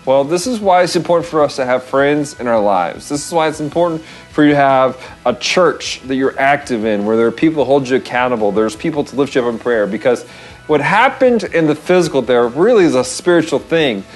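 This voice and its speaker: An American man in his thirties talks as a vlog host in a casual storytelling style. His pitch is very lively and he leans on words for emphasis, over faint background music. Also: fairly quick. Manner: animated, expressive, repeats phrases for emphasis. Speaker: show host. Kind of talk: casual storytelling with devotional encouragement. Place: small wood-panelled room, indoors.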